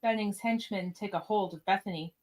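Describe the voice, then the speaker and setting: A woman narrates a radio play, reading out the scene directions between the acted parts. Her voice sits at a middle pitch. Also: brisk, poor audio. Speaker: podcast narrator.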